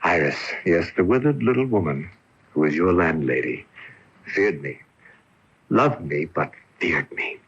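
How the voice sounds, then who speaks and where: A remorseful male ghost in an old radio drama. The voice is very deep and husky, swelling and falling dramatically as it confesses a violent, prideful nature. The broadcast sound is muffled. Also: expressive, measured pace, fairly bright tone, heavily acted. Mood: brooding, intense. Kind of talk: 1980 radio drama acting. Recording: dull AM radio airing from 1980.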